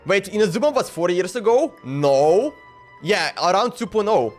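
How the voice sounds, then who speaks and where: A man comments casually on a game livestream in a fairly high voice. His pitch moves around freely as he thinks aloud and circles back over his own words, with light music in the background. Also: expressive, moderate pace. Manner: rambling, thinking aloud, repeats himself. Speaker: game streamer.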